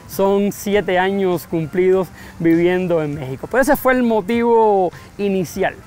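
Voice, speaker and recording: A man with a Cuban accent answers questions at length in a street interview, his voice notably high. He circles back and repeats phrases while thinking aloud. Soft music plays under the sound of the street. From Cuba. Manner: talkative, thoughtful, repeats phrases. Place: outdoors on a city street.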